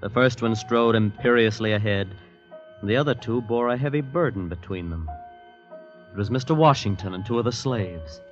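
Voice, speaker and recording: Brief: male actor as terse young adventure hero, low voice, 1940s radio suspense, muffled recording under music. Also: moderate pace, close to an everyday voice. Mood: tense narration. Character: wary young man, hard-boiled narrator of his own peril. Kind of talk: 1940s radio drama acting, suspenseful first-person narration. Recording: dull vintage radio recording.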